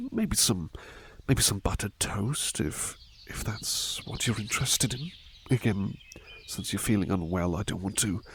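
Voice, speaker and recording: A man playing a devoted, fussing servant in a soft-spoken ASMR roleplay, gentle and deferential, hesitating and repeating his reassurances. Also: on the low side, gravelly, a little hiss or hum. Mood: caring, soothing. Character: devoted, fussing male servant tending a sick master. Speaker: roleplay performer.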